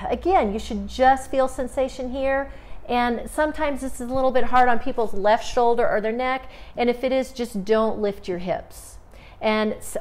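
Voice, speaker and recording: A woman in her forties teaches simple core exercises in a small home studio. She speaks in a calm, instructive way and gives steady breathing cues. A lapel mic picks up her voice up close. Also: a little high. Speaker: movement therapist. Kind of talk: exercise demonstration.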